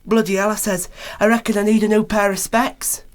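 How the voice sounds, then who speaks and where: A man's voice: sits high, flat delivery, fairly quick, close to an everyday voice. A man playing a coarse, gossipy working-class joker in a radio play, rambling and colloquial. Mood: gossipy, blunt. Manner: rambling, colloquial, full of tag questions. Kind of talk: radio play character acting.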